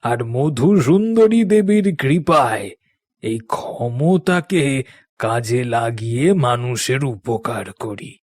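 A man voicing a calm old tantric priest in a cartoon ghost story, modest and deliberate, gently repeating his phrases. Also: medium pitch, dry recording, studio quality, pitched below the performer's own voice. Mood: calm, measured. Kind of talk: Bengali animated ghost-story character acting.

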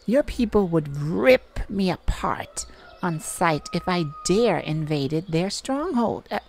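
A woman playing a weary, defiant mage in a dramatic audio roleplay, speaking in a halting, wry way. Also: fairly low pitch, lively intonation, creaky, darkish tone, slight background noise, full character voice. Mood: wary, sarcastic. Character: weary, defiant human mage longing for captured mate.

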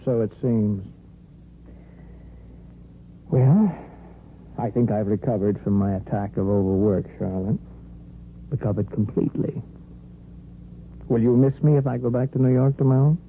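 A man's voice: mid-range voice, measured pace, long pauses, close to an everyday voice. An actor playing a jealous, sardonic man wounded in love in a 1950s radio soap opera. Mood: jealous, resigned. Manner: dry, sardonic. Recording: noisy tinny 1950s radio recording.

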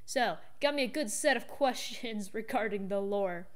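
A woman giving casual reaction commentary as a gaming video host, thinking aloud in a halting way. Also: medium pitch.

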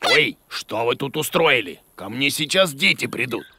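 An actor playing a bossy, hot-tempered, bumbling monster teacher in a children's educational cartoon, theatrical and grumbling. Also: male voice, medium pitch, big pitch swings, moderate pace, heavy background noise.

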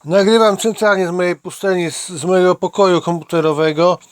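Sex: man. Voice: higher-pitched, dry close sound. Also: fairly even intonation, brisk, smooth, no echo. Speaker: amateur channel host interviewing a companion. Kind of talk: casual homemade interview chat. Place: small home computer room.

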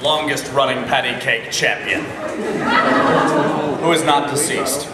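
A man's voice: mid-range voice, steady tone, measured pace, a loud crowd, very noisy. A young man joking around as a panelist on a convention stage, talking into a microphone in a large hall with a live audience. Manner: joking, loud, projecting into a mic. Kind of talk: casual panel banter. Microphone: handheld and podium microphones. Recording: echoey hall, filmed from far off.

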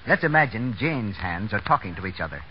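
A man's voice: lowish voice, sing-song, a little fast. An American man announcing in polished, persuasive 1930s radio style. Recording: scratchy muffled 1930s radio broadcast.

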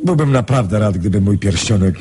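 An actor playing a suave, courteous, scheming gentleman in a radio drama, speaking politely and with measured urbanity. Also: lowish voice, expressive, close to an everyday voice. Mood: gracious, amused.

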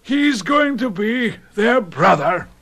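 An actor playing a stern, commanding older gentleman, master of the house, in a radio drama. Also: high voice, animated, takes it slowly, soft background music, exaggerated voice.

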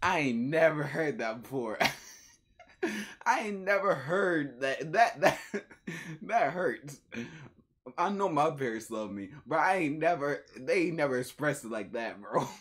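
A man's voice: on the high side, lively intonation, slow, fairly bright tone, breathy edge. A young American man casually reacting in slangy, repetitive commentary, chuckling as he goes. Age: young adult. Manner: slangy, repeats himself, chuckling. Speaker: reaction video host. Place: small room at home, indoors.

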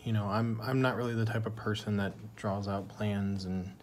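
A man's voice: lowish voice, fairly even intonation, moderate pace. A young man filming his woodworking project on a handheld phone in his workshop, talking casually and rambling with lots of fillers. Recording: noisy phone recording in a workshop.

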